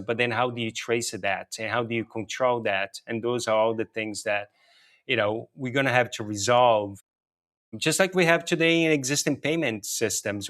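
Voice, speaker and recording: A man's voice: medium pitch, a bit bright. A middle-aged man from Brazil with a Brazilian accent, a native Portuguese speaker, answering interview questions in a conversational, explanatory way with "you know" sprinkled in. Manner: explanatory, often says "you know" and "right". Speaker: interview guest, technology and payments executive.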